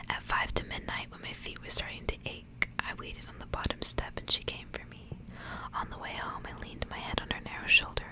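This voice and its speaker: A woman reads a story in an ASMR style. Her voice stays in a hushed whisper, with no full voicing. The delivery is that of a literary tale read aloud for listeners. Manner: hushed, unvoiced whispering throughout. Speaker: ASMR reader.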